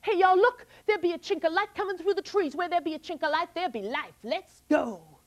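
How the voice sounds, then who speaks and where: A woman's voice: very high-pitched, brisk, heavy background noise, pitched above the performer's own voice. A woman voicing a clever, plucky young girl with folksy speech. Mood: bold. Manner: folksy dialect, pleading politely.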